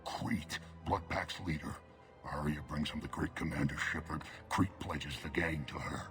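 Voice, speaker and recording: A gruff alien mercenary in a sci-fi game. His voice is deep and commanding. He speaks in terse, businesslike bursts over light music. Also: normal speed, a bit bright. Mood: urgent. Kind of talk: video game acting.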